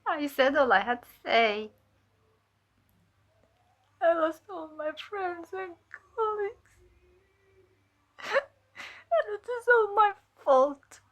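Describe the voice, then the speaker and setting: A woman playing a fearful, guilt-ridden cult infiltrator giving halting, emotional testimony in an audio drama. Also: very high voice, expressive, fairly bright tone, dull audio, exaggerated voice. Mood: grieving, guilty.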